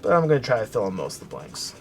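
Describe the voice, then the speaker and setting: A man hosting a coloring tutorial, talking casually and softly as he works, thinking aloud with fillers and restarts. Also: fairly low pitch, very fast, creaky, a little hiss or hum. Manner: relaxed, thinking aloud while working, with fillers and self-corrections; soft-spoken, hoping to sound calming. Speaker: art tutorial host.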